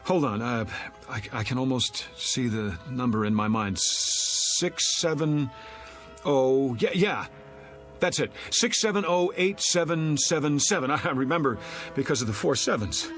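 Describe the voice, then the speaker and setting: A man's voice: medium pitch, a little slow, fairly bright tone, faint music behind, natural voice. An American actor playing a desperate, agitated fugitive in a television drama, stammering and insisting urgently that he is sane. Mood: anxious, hopeful. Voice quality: stammering, breaking phrases.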